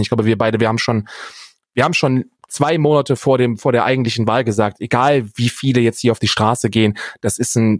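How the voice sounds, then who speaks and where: A man's voice: medium pitch, a little mellow, dry recording, studio quality. A young man talking casually and with strong opinions as a podcast co-host, repeating phrases for emphasis.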